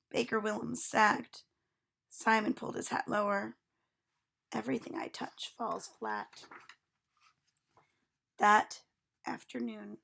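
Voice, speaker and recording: A woman reading a children's storybook aloud with expressive narration. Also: mid-range voice, vocal fry.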